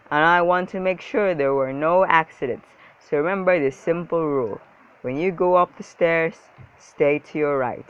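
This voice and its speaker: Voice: mid-range voice, a bit bright, clean tone, close to an everyday voice. Storybook school principal played by a narrator: hearty, pompous, emphatic announcer delivery, slightly dull recording. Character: upbeat, self-important school principal on loudspeaker.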